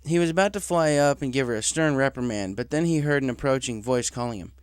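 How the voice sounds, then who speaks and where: A man's voice: medium pitch, fast talker, clear, resonant tone, dry recording, clean studio sound. A man reading a story aloud as a scripted narrator. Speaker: story reader.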